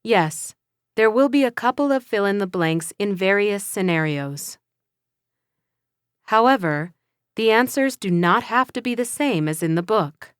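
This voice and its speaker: A woman playing an encouraging but firm English teacher, speaking slowly and carefully in a scripted classroom dialogue. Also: mid-range voice, expressive, clean tone, no echo, studio quality, close to an everyday voice. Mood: instructive. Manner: slow, careful, instructive delivery.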